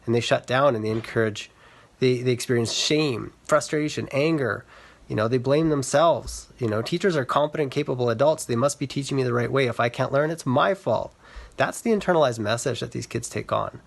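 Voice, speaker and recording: Man, medium pitch, educator, earnest explanatory delivery, measured phrasing, social media talk. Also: creaky. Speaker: reading specialist and educator.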